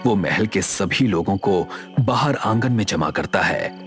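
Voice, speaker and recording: Expressive male horror story narrator. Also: sits low, a little slow, soft background music.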